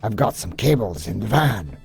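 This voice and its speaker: A man's voice: fairly low pitch, big pitch swings, normal speed, vocal fry, a little mellow, faint music behind. A man playing an eager, wry toy-soldier field agent with chummy patter in an amateur comic dub. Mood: wry, businesslike.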